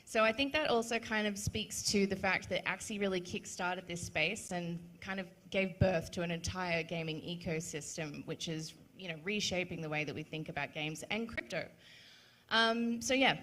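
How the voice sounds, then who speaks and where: A woman's voice: fairly low pitch, fairly bright tone. A woman with an Australian accent speaking casually on a panel as an event organizer, chatty and upbeat with frequent ums.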